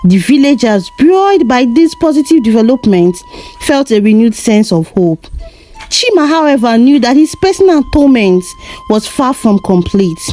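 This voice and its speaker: Female storyteller for scripted folktale narration, measured and solemn, higher register with animated intonation. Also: soft background music, poor audio.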